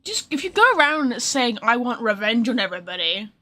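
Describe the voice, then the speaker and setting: Woman game streamer chatting casually, bright lively voice, blunt and sarcastic. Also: on the high side, brisk, low-quality recording. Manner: reacts bluntly to dialogue, sarcastic. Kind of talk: casual live game commentary.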